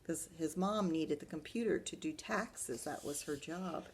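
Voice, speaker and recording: Low-voiced woman reading to children, slightly creaky. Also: unhurried. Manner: addresses young children, explanatory, asks rhetorical questions. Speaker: school librarian reading to children. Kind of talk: animated children's storybook read-aloud with asides.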